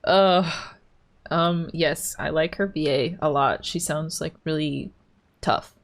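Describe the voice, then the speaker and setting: Laid-back low-voiced woman streamer. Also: slow. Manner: laid-back, giggly, talks over the game. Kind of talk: casual gameplay commentary. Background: game music.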